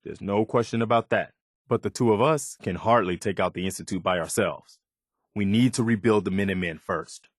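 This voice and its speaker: A male actor plays a weary, idealistic leader of a wasteland militia in a video game. His voice sits a little low and he speaks with measured, earnest sincerity. The recording is very dry, with no room around the words. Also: no room sound, natural voice. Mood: resolute. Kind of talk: video game character dialogue.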